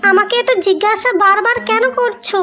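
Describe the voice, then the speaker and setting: A woman's voice: very high-pitched, fairly even intonation, brisk, over music. A woman voicing a vain, quarrelsome young bride who scolds indignantly in a melodramatic cartoon. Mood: haughty, indignant. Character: vain, haughty, quarrelsome young new wife.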